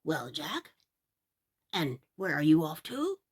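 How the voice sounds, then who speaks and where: A woman voicing a funny-looking, sly old man in a children's storybook, coaxing in a slow, knowing, hushed way. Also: low voice, big pitch swings, husky, voice pushed down, heavily acted.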